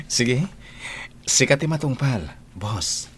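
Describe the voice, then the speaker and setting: A man's voice: mid-range voice, animated, drawn-out words, slight background noise. A man playing an easygoing, generous young master of a household in a radio drama. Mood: teasing, agreeable. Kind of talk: Ilocano radio drama acting.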